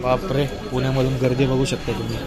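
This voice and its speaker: A man's voice: medium pitch, little pitch movement, fast talker. A young man casually narrating a train trip vlog to his viewers from a crowded coach and platforms, filmed on a phone held at arm's length. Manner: chatty, informative, addressing viewers directly. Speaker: travel vlogger. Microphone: phone camera held at arm's length. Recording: loud train noise, phone camera. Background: loud train and station noise.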